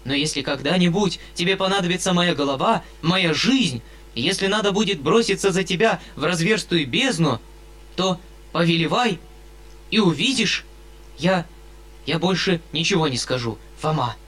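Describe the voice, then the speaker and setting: A man's voice: high-pitched, expressive, moderate pace, fairly bright tone, voice pushed up. A man reading an audiobook, voicing a kindly retired colonel who speaks in fervent, emotional outpourings.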